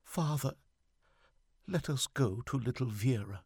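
A man's voice: mid-range voice, expressive, darkish tone, breathy edge, no echo, studio quality, voice pushed up. A man voicing a timid, wounded middle-aged mother, coaxing and tearful, in a story reading. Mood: sobbing plea. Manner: coaxing, timid, slow and emphatic.

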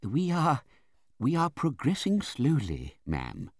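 A man's voice: mid-range voice, huge pitch swings, slow pace, a little mellow, no room sound, pitched above the performer's own voice, full character voice. A mild, timid male country doctor from a classic storybook, as a male narrator plays him. He speaks hesitantly and very politely, repeating himself, in a dry, close recording. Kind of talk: dramatised audiobook reading of a classic novel.

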